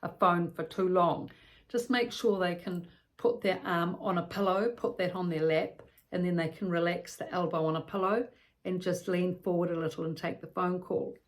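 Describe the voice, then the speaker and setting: Low-pitched woman explaining step by step. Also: steady tone, normal speed. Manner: step-by-step, repeats points with illustrative examples. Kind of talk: explanatory instructional talk.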